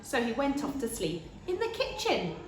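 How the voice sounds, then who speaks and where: A woman reads a children's story in a high voice. Her delivery is expressive and unhurried, rising and falling widely. The room sounds echoey. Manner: deliberate, animated storytelling. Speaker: story reader.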